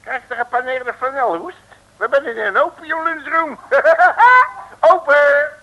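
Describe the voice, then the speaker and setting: An actor playing a cocky, blustering detective in an old radio comedy, folksy and full of exclamations. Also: male voice, very high-pitched, slow, sharp tone, full character voice. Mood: impatient. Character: blustering cocky male detective, colourful curser and brawler. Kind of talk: 1960s radio comedy acting. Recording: crackly old radio recording.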